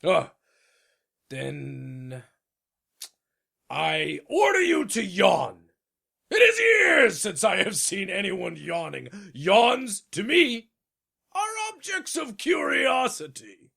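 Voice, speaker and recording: A man voicing a proud, pompous king in a storybook tale, raspy and commanding, drawing out his orders. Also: high-pitched, huge pitch swings, sharp tone, pitched above the performer's own voice, heavily acted. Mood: imperious. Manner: pompous, commanding, drawn-out imperious delivery.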